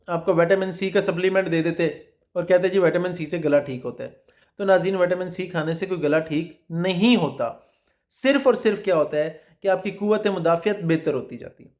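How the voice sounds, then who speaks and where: Man teaching in a high voice. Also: darkish tone. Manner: didactic, asks rhetorical questions, repeats points. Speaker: food specialist host. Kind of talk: explanatory health talk to camera.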